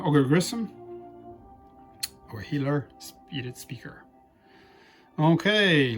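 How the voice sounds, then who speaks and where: A man whose first language is Polish, hosting a board game playthrough in casual, halting commentary. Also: mid-range voice. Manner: halting, frequent restarts and fillers. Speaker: board game channel host.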